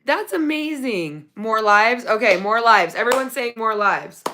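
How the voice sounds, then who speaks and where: A woman hosting a casual livestream from her phone, reading viewer comments, her voice going raspy from talking so long. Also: a little high, measured pace. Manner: chatty, excited when thanking viewers for gifts. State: losing her voice, getting raspy. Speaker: livestream host.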